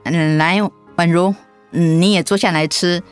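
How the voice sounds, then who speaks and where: An actress playing a gentle, hesitant middle-aged mother in a radio drama. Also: lowish voice, fairly even intonation, unhurried, clean tone, faint music behind, close to an everyday voice. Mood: gentle, reassuring. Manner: gentle, hesitant, conversational with soft fillers.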